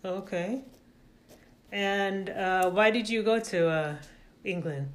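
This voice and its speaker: A woman hosts an interview podcast at a middling pitch. She asks her questions in plain words. She often rephrases them and adds fillers as she goes. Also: slow. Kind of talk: casual interview.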